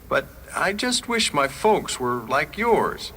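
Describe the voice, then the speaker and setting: A woman's voice: low voice, big pitch swings, slow pace, a bit bright, heavily acted. A woman playing a teasing, doubtful young boy with a childlike, plaintive way of talking. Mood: doubtful, wistful. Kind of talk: dramatized short story acting. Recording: noisy vintage radio drama recording.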